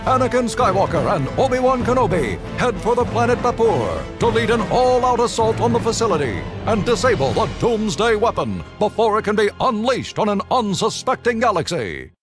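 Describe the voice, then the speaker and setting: A high, wide-swinging male newsreel announcer. He declaims like 1940s war reportage, emphatic and dramatic over music. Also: sing-song, normal speed. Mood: urgent, dramatic.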